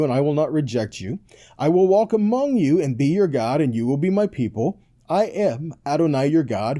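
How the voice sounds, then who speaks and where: A man with a fairly high-pitched yet very soft-edged, dark voice delivers a conversational sermon. His intonation swings widely as he drives points home. He teaches emphatically, posing questions and adding short check-ins for his listeners. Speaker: Bible teacher.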